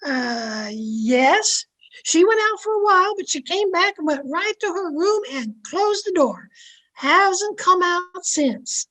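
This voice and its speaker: A woman playing an anxious, soft-hearted housemaid in a 1940s-style radio comedy, fretful and sympathetic. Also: extremely high pitch, lively intonation, slow pace, heavily acted, rough recording. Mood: worried.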